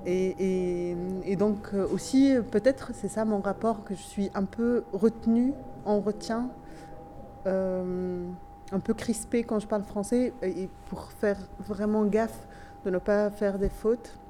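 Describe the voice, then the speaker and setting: A woman from Tunisia whose first language is Tunisian Arabic, speaking French with a light Tunisian accent and a slightly nasal tone, giving thoughtful, hesitant interview answers with many pauses and restarts. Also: mid-range voice, steady tone, warm tone, slight background noise.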